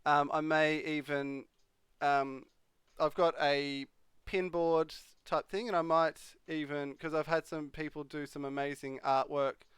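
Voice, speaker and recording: A man's voice: fairly high pitch, level tone, a little slow, a bit bright, clean tone, no echo, studio quality. A young man hosting a live stream, chatting casually and answering viewers' questions. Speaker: livestream host. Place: home streaming room.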